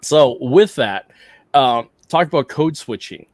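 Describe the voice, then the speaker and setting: A man's voice: mid-range voice, lively intonation, slow, no room sound. A middle-aged man from Hawaii hosting a casual podcast conversation, laid-back and reflective, often repeating himself as he thinks aloud. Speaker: podcast host.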